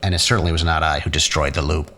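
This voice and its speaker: A man's voice: extremely low pitch, steady tone, quick pace. A man acting in a science fiction audio drama as a bitter, sardonic younger-brother scientist, with mocking, theatrical, erudite delivery. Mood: mocking, accusing. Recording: faint noise and room ambience.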